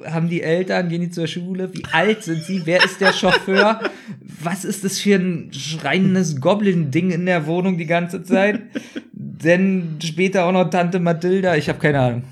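A man's voice: sits high, normal speed. A man in a casual chat as podcast co-host, talking colloquially in short reactions and questions.

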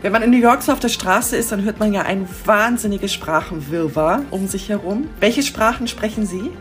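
A woman's voice: mid-range voice, moderate pace, faint music behind. A woman from Hamburg hosting an interview, asking short questions in an upbeat way. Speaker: interview host.

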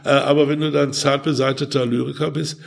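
An older man talking in an interview in a halting, hesitant way, often seeking agreement with small tag questions. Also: medium pitch, little pitch movement. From Ruhr region, Germany. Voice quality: gravelly, husky. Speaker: author, interview guest. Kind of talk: conversational interview.

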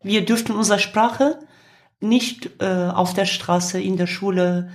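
A woman of fifty with a Kurdish accent and a middling pitch. She answers interview questions reflectively, feeling for her words in a language that is not her first. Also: fairly even intonation. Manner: halting, searching phrasing in non-native German. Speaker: interviewed musician.

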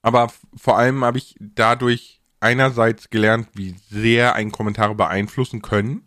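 A man's voice: mid-range voice, fairly even intonation, normal speed, fairly bright tone, dry recording. Colloquial man chatting, dry close sound, sibilant s. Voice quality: slightly stressed sibilant s sounds. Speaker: podcast host and content creator. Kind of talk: casual podcast chat.

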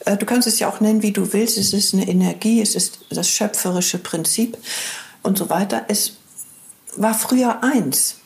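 A woman's voice: medium pitch, moderate pace, gravelly, a little mellow. A woman in her sixties, a body therapist guest on a podcast, talking in an earnest, musing way with many hesitant fillers. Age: 60s. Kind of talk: reflective conversational interview. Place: lives in Hamburg.

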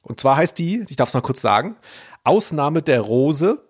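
A man's voice: fairly high pitch, sing-song, a little slow, husky. A man hosting a podcast in casual, joking chat, with an irreverent, slangy way of talking. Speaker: podcast host.

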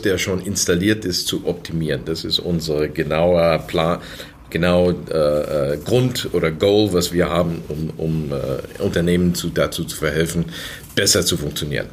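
Low, lively American-born man. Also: a little hiss or hum. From United States, moved to Germany as a child. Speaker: podcast guest, software consultant. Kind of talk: casual interview chat.

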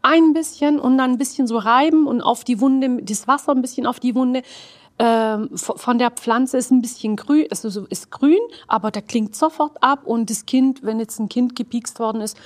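A woman's voice: on the high side, moderate pace. A woman whose first language is Arabic, a guest on a talk show, earnestly explaining herbs with many fillers and restarts. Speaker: guest, esoteric herbalist. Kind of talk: casual talk-show conversation.

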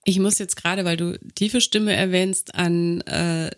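A man's voice: high-pitched, steady tone, measured pace. A man chatting casually as a podcast interviewer.

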